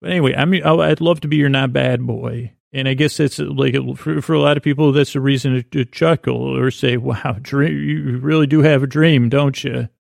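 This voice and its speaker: A man hosting a podcast, talking in a slow, meandering, lulling way as he drifts from thought to thought. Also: mid-range voice, fairly even intonation, creaky, no echo, studio quality. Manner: slow meandering soothing delivery. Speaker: podcast host. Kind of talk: rambling sleepy podcast intro monologue.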